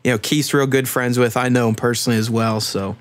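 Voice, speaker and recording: A man's voice: medium pitch, fairly even intonation, quick pace. A man in his thirties hosting a casual panel discussion, speaking informally and freely swearing. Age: 30s. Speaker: podcast host.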